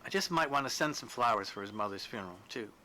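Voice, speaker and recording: Storybook rustic veteran, acted by a male reader: shrewd, folksy, blunt, kindly underneath, with a forceful bright edge. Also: medium pitch, expressive, quick pace, slight background noise. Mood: kindly, reassuring. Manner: folksy, dialect-laden, blunt. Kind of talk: storybook character dialogue.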